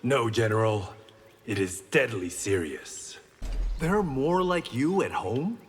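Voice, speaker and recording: A man's voice: mid-range voice, lively intonation, slow, big-room sound, slight background noise. An actor playing a stoic blind swordsman hero in a video game, with dry, confident wit. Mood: dry confidence.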